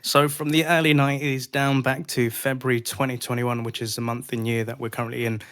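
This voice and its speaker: A man's voice: mid-range voice, flat delivery, fairly quick, a bit bright. A man hosting a podcast, introducing the show and interviewing a guest. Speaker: podcast host.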